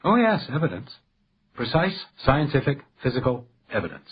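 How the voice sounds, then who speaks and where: An actor playing a shrewd, defiant house detective, speaking in a precise, dryly wry way in a radio crime drama. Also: male voice, mid-range voice, big pitch swings, moderate pace, slightly rough, lo-fi sound. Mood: pointed, confident.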